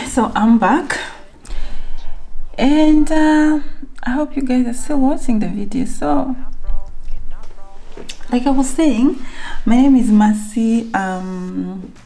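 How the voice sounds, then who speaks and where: A woman's voice: on the high side, slow pace, long pauses, darkish tone, rough recording. A young woman from Kenya talking alone to her camera at home in a reflective, rambling sit-down vlog, full of fillers and restarts. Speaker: vlogger.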